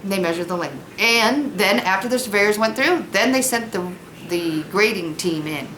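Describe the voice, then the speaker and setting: A woman's voice: mid-range voice, animated, normal speed, a bit bright, roomy sound, low-quality recording. Woman teaching, noticeable background noise. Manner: informal, explanatory, speaks simply to children. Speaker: elementary school teacher. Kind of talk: read-aloud lesson with casual explanation to pupils.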